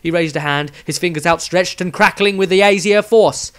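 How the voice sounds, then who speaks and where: A man's voice: sits high, normal speed, fairly bright tone. A man reading a scripted fantasy adventure aloud as a dramatic storyteller.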